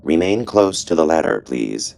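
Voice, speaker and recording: Male narrator as calm AI drone, extremely low, gravelly, formal, commanding, visual novel reading. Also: moderate pace, slight background noise, full character voice. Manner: flat, formal, clipped politeness.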